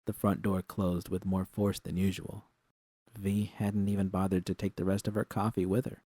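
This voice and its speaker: Low, mellow male story narrator. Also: level tone, moderate pace, no room sound, clean studio sound. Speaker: audiobook narrator. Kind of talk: first-person scripted story narration.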